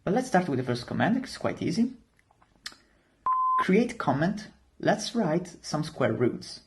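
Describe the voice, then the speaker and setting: A young man presenting an informal software demonstration, walking the listener through it step by step. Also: fairly high pitch, very animated, a little slow, very noisy. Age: young adult. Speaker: student demo presenter.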